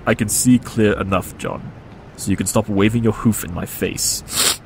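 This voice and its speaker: An actor playing a brilliant, condescending pony detective in an audio drama, curt and dryly sarcastic. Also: male voice, fairly low pitch, steady tone, normal speed, slight background noise, close to an everyday voice, poor audio. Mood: irritated, sniffly. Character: brilliant, dry, condescending male pony detective with cat allergy.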